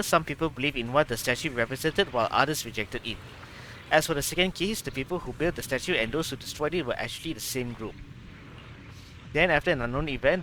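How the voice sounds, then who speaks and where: A man's voice: mid-range voice, pushed and bright, slight background noise, natural voice, low-quality recording. A man voicing a thoughtful young investigator who reasons aloud, analytically, in game dialogue.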